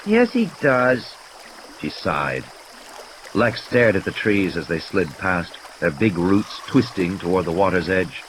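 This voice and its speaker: A man's voice: deep voice, a little slow, noisy background. A man reading scripted dramatic story narration as an audiobook narrator.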